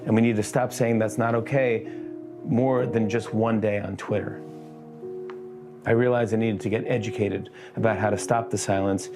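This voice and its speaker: Male, lowish pitch, earnest appeal delivered with clear guitar music underneath. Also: level tone, moderate pace.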